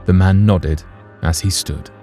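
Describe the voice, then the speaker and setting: A man's voice: low-pitched, a little slow, mellow, faint music behind. A man narrating a scripted story in a literary, dramatic manner.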